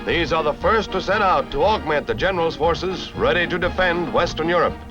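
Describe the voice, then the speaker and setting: Male American newsreel narrator, 1950s style: dramatic, very animated, over loud music on a dull old soundtrack. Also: fairly high pitch. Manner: brisk, dramatic announcer delivery.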